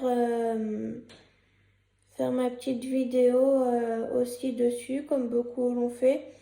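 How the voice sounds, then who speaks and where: Hoarse young woman with a cold. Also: fairly high pitch, dark tone, roomy sound. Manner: hesitant, many fillers and restarts. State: has a cold and a sore throat. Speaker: YouTuber. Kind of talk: casual vlog commentary, partly read from a script.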